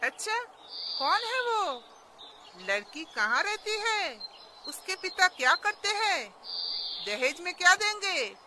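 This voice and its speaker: A woman's voice: extremely high pitch, sing-song, slow pace, long pauses, noisy background, full character voice. A woman playing a greedy, scheming middle-aged mother-in-law in a moral story, with a scornful, calculating way of talking. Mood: probing, bossy. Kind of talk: Hindi moral story voice acting.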